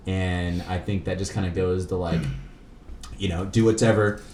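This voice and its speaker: A man hosting a podcast, chatting casually in a halting, slangy way. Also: low-pitched, steady tone, darkish tone. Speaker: podcast host. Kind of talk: casual chat. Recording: roomy, echoey room with noise.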